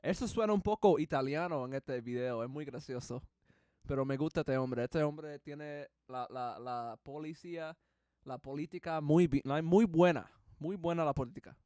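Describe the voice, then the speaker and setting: A man hosting a livestream, reacting excitedly and switching between English and Spanish, with English as his first language. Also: on the high side, normal speed, dry recording, clean studio sound. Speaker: livestream host. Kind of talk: casual reaction commentary.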